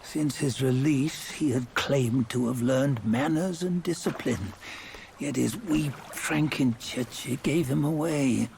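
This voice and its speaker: An actor playing a grave, judgmental guide in a fantasy game, speaking in solemn, archaic phrases with a cryptic, mocking edge. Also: male voice, medium pitch, takes it slowly, vocal fry, a little mellow, a little hiss or hum. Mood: scornful. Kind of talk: fantasy game cutscene acting.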